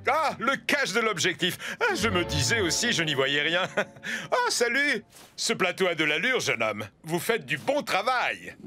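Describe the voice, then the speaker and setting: High, swooping, gushing film director. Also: male voice, sing-song, a little slow, bright, forceful tone, full character voice. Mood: jovial. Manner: gushing, exclamatory, chuckling. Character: enthusiastic, absent-minded male film director. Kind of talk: children's cartoon dub acting. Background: music.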